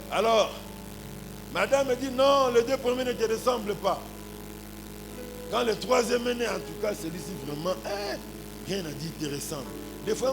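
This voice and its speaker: High-voiced Congolese pastor preaching over music. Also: male voice, rough recording. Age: 40s. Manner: punctuates points with exclamations and rhetorical questions. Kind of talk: animated sermon with anecdotes and congregational address.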